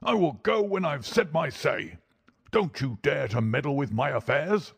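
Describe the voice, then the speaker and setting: A man's voice: a little high, lively intonation, a little slow, gravelly, slightly rough, no room sound, clean studio sound, voice pushed up, exaggerated voice. A man voicing a huge, menacing old doctor, a furious bully who snarls threats and insults in a storybook reading. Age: older.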